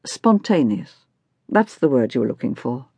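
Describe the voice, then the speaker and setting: A woman voicing an orderly, patient but mildly exasperated mother in audiobook dialogue, measured and dryly reproving. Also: rumbling low, sing-song, darkish tone, breathy edge, no room sound, highs cut off, voice pushed down, full character voice. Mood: weary, dry.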